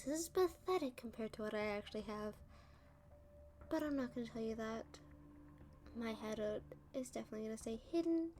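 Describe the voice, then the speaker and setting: A woman's voice: on the high side, dark tone, lightly acted. A woman playing a playful, smug female supervillain in an ASMR roleplay, teasing and talking down to the listener. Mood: taunting, gleeful. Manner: teasing, condescending, drawn-out delivery.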